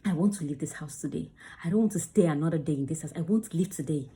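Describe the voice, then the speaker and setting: Female acting coach, chatty and emphatic, with a low, warm voice. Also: fast. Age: adult under 35. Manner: chatty, emphatic, demonstrates lines. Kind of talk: casual teaching to camera.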